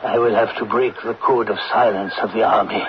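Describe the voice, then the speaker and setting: An actor playing a troubled, earnest French army officer in a radio drama, speaking gravely and a little haltingly. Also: male voice, on the low side, steady tone, lightly acted. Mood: shaken, determined. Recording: dull, noisy old radio recording.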